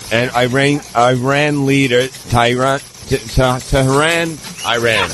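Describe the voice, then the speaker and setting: A man's voice: mid-range voice, noisy background. A man reading a comedic mock newscast as the anchor, stumbling over words and mispronouncing them. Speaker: comedy show news reader. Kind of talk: mock newscast read aloud.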